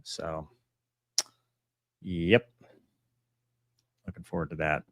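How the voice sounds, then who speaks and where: Very deep-voiced man chatting casually. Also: flat delivery, drawn-out words, dry recording. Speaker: live stream host answering viewer messages; pilot and author. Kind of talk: casual chat, live Q&A.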